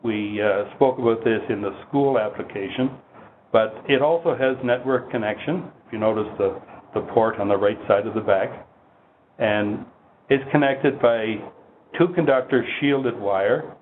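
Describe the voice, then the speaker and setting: A man presenting technical product training in a measured, explanatory, instructional way. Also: on the low side. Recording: muffled phone-like line with heavy noise.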